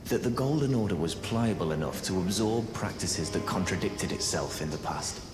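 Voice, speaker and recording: A low, genteel male sorcerer from a fantasy game, courteous and wry. He chats like a scholar on the run. The voice rings with room echo over clear music. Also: expressive, moderate pace. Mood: scholarly, intrigued. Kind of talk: fantasy video game dialogue.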